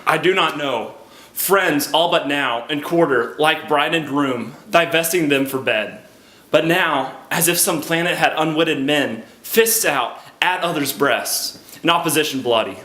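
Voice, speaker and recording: An actor playing a scheming soldier who feigns honest concern, in declamatory Shakespearean stage style. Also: male voice, fairly high pitch, unhurried, sharp tone. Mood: feigned reluctance. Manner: theatrical, declamatory. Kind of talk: classical Shakespearean stage acting. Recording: echoey hall recording, music behind.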